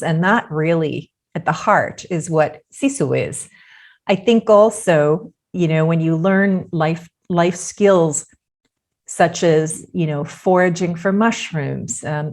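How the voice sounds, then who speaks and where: A woman guest on a podcast interview, talking in a thoughtful, measured way and using many fillers. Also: low-pitched, a little mellow.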